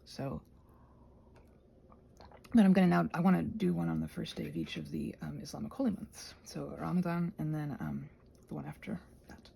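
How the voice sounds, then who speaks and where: A woman chatting casually in a rambling ASMR style, with a soft breathy voice that often drops into a whisper, questioning herself and thinking out loud. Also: low-pitched, moderate pace, long pauses. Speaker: ASMR video creator and host.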